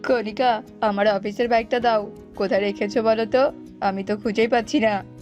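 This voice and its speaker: A woman's voice: fairly high pitch, steady tone, moderate pace, darkish tone, faint music behind, lightly acted. A woman voicing a selfish male crow husband in a cartoon, scolding, impatient and dismissive. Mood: irritated.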